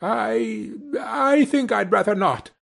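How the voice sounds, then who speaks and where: A man's voice: sits high, slow pace, gravelly, a bit bright, voice pushed up, exaggerated voice. A man voicing a frightened old miser who falters and stammers as he pleads. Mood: nervous pleading.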